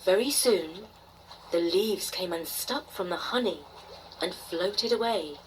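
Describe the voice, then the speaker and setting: A woman storyteller narrating a bedtime tale expressively, with dramatic pauses and emphasis. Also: fairly low pitch, rough recording. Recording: faint noise behind the voice.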